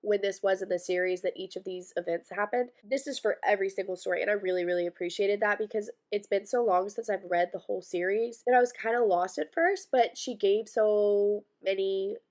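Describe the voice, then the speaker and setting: A woman's voice: mid-range voice, a little fast, few pauses, a little mellow. Bubbly young woman with a stuffy cold. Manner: bubbly, chatty, gushing with fillers like "like". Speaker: book reviewer. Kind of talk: casual book review vlog.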